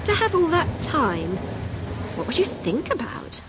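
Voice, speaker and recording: A brash, high, swooping English gossip. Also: female voice, huge pitch swings, unhurried, noisy background, heavily acted. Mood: gushing, merry. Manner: gushing, teasing, theatrical gasps and giggles. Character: brash, gossipy, much-married older Englishwoman, merrily shameless. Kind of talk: radio drama acting.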